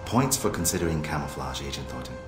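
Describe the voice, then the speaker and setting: An actor playing a stern, coldly composed secret police agent in a video game, speaking in clipped, formal, condescending phrases. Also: male voice, extremely low pitch, animated, quick pace. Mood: cold, controlled. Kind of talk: video game cutscene acting. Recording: echoey, loud music underneath.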